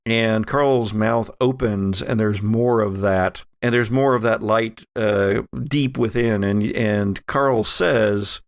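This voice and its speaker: A man narrating a horror tabletop game as game master, halting and pausing mid-phrase as he improvises the scene. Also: fairly low pitch, fairly even intonation, clear, resonant tone, no echo, clean studio sound.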